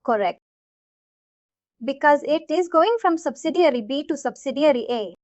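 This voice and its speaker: A woman's voice: high-pitched, animated, normal speed, darkish tone, no room sound, highs cut off. A woman trainer explaining a concept to learners step by step, repeating herself and checking that they follow. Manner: repetitive, checks understanding often. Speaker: software trainer.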